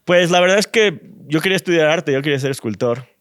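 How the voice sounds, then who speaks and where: Smooth-voiced Mexican man, relaxed. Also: a little high, fast talker, no room sound, clean studio sound. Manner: thoughtful, many fillers like "este" and "o sea". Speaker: designer guest. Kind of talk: relaxed conversational interview.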